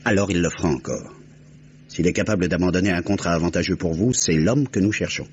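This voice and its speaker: An actor playing a grave, insistent police inspector in an old French radio mystery, speaking in a measured, earnest way. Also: male voice, low-pitched, close to an everyday voice. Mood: urgent, earnest. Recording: muffled, hissy old radio recording.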